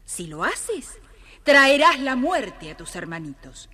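High, grand fairy queen. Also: takes it slowly, full character voice. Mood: grave warning. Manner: solemn, grand, expressive. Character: benevolent, solemn fairy queen giving magical instructions. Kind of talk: dramatized children's audio story acting.

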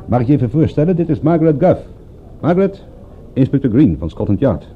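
An actor playing a wry, world-weary private detective in a radio drama, sardonic, with a gravelly, husky voice. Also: male voice, mid-range voice, sing-song, fast, faint music behind, close to an everyday voice. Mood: breezy, teasing. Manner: sardonic, dry-witted. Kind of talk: radio drama narration and dialogue. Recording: muffled, phone-like old radio recording.

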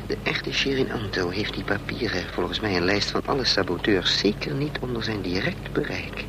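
A man's voice: lowish voice, lively intonation, moderate pace. Restless scheming man, noisy radio play. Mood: brooding, urgent. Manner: hesitant, muttering, thinking aloud. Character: anxious, scheming male adventurer on the run, thinking aloud. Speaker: protagonist and narrator of a radio play. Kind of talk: Dutch radio play acting. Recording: noisy old radio play recording, vehicle drone.

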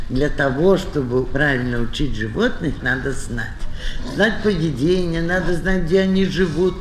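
A man giving an educational talk to children as an animal theatre guide, affectionate and fond of diminutives, on an old record. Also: a little high. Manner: affectionate, uses pet names and diminutives. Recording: echoey, noisy old flexi-disc recording. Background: animal sounds.

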